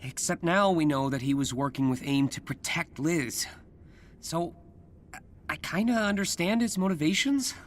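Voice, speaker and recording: A man's voice: on the high side, normal speed, a little hiss or hum, natural voice. An actor playing an earnest, anxious young male superhero in a video game, hesitant and a little awkward. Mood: troubled. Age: young adult. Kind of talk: naturalistic video game voice acting.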